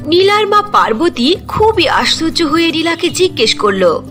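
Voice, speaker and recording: Female narrator for cartoon fairy tales, high-pitched and very expressive. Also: normal speed. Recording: music behind the voice.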